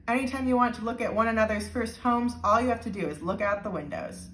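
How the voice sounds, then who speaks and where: A woman's voice: on the high side, fast talker, a bit bright, slight background noise, voice pushed up, lightly acted. A woman voicing a kindly, encouraging schoolteacher in a storybook. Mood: cheerful. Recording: roomy, echoing indoor sound.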